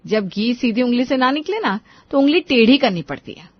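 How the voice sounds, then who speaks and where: A woman's voice: a little high, lively intonation, brisk, no echo, muffled recording. A woman voicing a scheming, complaining young daughter-in-law in a cartoon story. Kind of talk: acted dialogue in a cartoon story.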